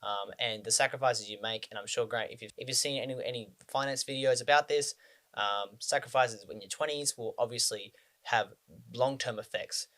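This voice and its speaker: A man's voice: medium pitch, normal speed, a bit bright. A man in his twenties from Australia, talking casually to the camera about personal finance, rambling with frequent ums and restarts. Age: 20s.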